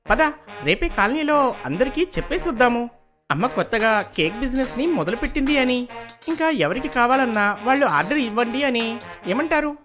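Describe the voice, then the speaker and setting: Young boy for kids' animation, female performer: upbeat, encouraging, persuasive, very lively intonation. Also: fairly high pitch, a little fast, over music, natural voice. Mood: enthusiastic. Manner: enthusiastic, persuasive. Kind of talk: animated children's story dubbing.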